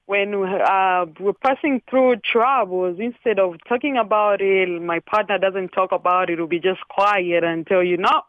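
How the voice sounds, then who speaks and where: A woman from the Congo with a Congolese accent, calling in to a radio show and speaking haltingly as she searches for words. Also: mid-range voice, no echo. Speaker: caller. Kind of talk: call-in radio conversation. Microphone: telephone. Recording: tinny phone line.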